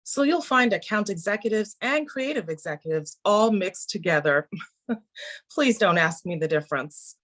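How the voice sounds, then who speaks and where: A woman's voice: medium pitch, animated, moderate pace, a bit bright. Businesslike young woman office manager, read by an actress. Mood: brisk, knowing. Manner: businesslike, instructive. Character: brisk, knowing office manager woman in her twenties. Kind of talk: amateur table read of TV drama.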